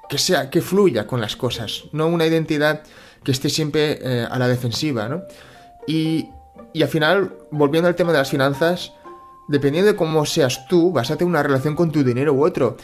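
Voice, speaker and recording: Reflective Spanish man, hesitant monologue. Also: on the high side. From Spain (Catalonia). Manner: thoughtful, hesitant, many fillers and restarts. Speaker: personal finance channel host.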